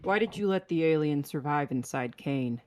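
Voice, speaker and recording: A man's voice: a little high, lively intonation, moderate pace, natural voice. A man playing a terse, weary spaceship captain in a sci-fi script table read. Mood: suspicious.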